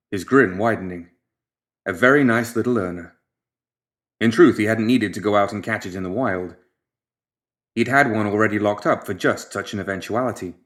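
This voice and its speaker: A man reading scripted fiction as an audiobook narrator, with expressive storytelling. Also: fairly low pitch.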